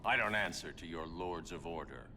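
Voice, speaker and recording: A man's voice: deep voice, normal speed, pushed and bright, soft background music. An actor voicing a grim, stern male vigilante who speaks in terse, clipped commands.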